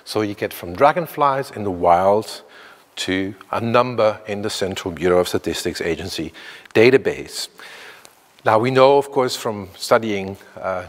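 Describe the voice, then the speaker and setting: A Belgian man in his fifties giving a tentative, self-deprecating conference talk with many fillers and restarts. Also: on the low side. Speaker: academic speaker. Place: lecture hall. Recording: reverberant hall.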